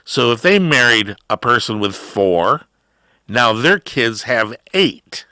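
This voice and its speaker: Casting: middle-aged male talk host, unhurried rambling rant, clear, close, dry sound. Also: medium pitch, very animated, sharp tone, dry recording. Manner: drawn-out, rambling monologue with emphatic rises. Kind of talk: ranting political talk.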